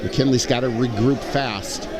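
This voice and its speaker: A man's voice: mid-range voice, steady tone, unhurried. A man giving live basketball commentary and analysis as part of a broadcast team. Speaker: sports commentator, game analyst. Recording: noisy gym with audible crowd. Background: crowd and gym noise.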